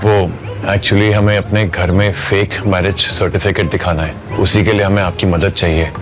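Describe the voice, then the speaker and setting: A man's voice: deep voice, fairly even intonation, moderate pace, over music, natural voice. An actor playing an earnest, devoted young man in love, speaking tenderly and reassuringly in a romantic audio drama. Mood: polite, pleading.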